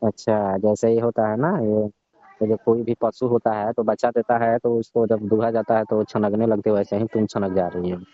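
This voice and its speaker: A man's voice: lowish voice, level tone, normal speed, no room sound, natural voice. A man playing a pushy, leering coaching teacher, needling and insisting in casual conversation. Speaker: performer in a comic prank-style phone call skit. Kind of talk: casual phone conversation skit. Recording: muffled lo-fi phone call recording.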